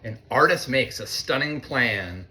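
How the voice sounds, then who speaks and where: An American man, a teacher, reads a picture book aloud to children in an expressive way, with conversational asides. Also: medium pitch, slight background noise. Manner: expressive, deliberate when reading, conversational in asides. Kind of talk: read-aloud storytelling with chatty asides to children.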